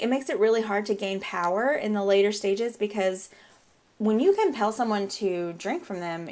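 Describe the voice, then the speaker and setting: Southern lady rambling over her game, bit stuffy from a cold, giggles a lot. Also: mid-range voice. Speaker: gameplay video host. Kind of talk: casual gameplay commentary.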